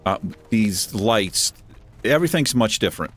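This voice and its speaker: A man with a deep voice hosting a gaming channel, chatting casually and self-deprecatingly while he plays. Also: lively intonation, moderate pace, fairly bright tone, soft background music. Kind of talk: casual gameplay commentary. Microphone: desk microphone.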